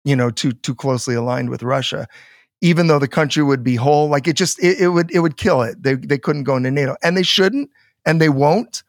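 A man's voice: fairly high pitch, brisk, no room sound, clean studio sound. A man talking politics in a loose, rambling way, often swearing and trailing off mid-sentence. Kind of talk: casual political chat.

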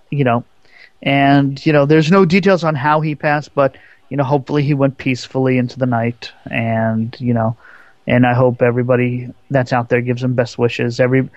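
A man co-hosting a casual radio talk show, speaking haltingly with many fillers and restarts. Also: mid-range voice, dry recording, dull audio. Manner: halting, stuttery, many ums and you-knows.

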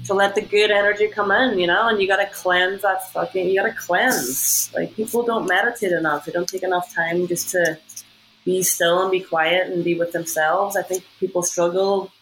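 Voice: mid-range voice, steady tone, normal speed, a bit bright, slight background noise, rough recording. A speaker in casual conversation about spirituality, talking emphatically with laughs and frequent swearing.

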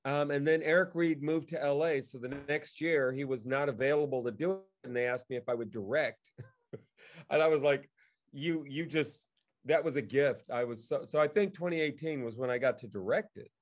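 A man talking casually as he tells stories, in an animated way, acting out remarks that people made. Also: medium pitch, moderate pace. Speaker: guest co-host and theatre director. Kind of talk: casual storytelling in conversation.

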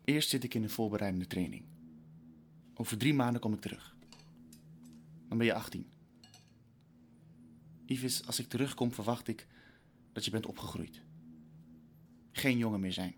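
An actor playing an earnest middle-aged father who is leaving to fight in a war, speaking haltingly and solemnly in an audio drama. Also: fairly low pitch, a little hiss or hum, natural voice. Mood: solemn, resolute. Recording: loud music bed under voice.

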